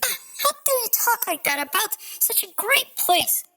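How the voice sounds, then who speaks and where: Man putting on a squeaky, creaky snippy girlfriend. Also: big pitch swings, measured pace, sharp tone, exaggerated voice. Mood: indignant.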